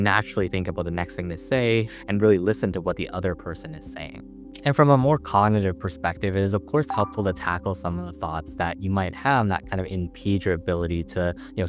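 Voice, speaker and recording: A man with a notably low voice talks through therapy strategies as a psychologist. He keeps it conversational, often using "you know" and "like", with soft music low in the background. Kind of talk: educational talk to camera.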